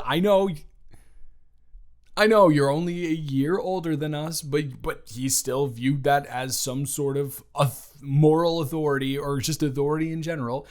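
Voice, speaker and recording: A man playing a caring, awkward twenty-one-year-old best friend in an intimate audio roleplay, with halting, rambling, casual speech. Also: mid-range voice, natural voice. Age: young adult.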